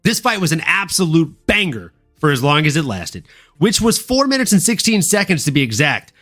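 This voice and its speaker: A man's voice: fairly high pitch, sing-song, moderate pace, sharp tone, soft background music. A man hosting a sports podcast in an informal, slangy, showman-like way, talking fight fans through the card. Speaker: podcast host. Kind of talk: upbeat sports podcast talk and fight recap.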